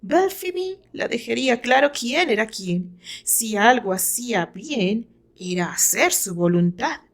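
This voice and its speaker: A woman's voice: on the high side, lively intonation, unhurried. A woman with a Mexican accent reading her own fiction aloud as dramatic scripted narration.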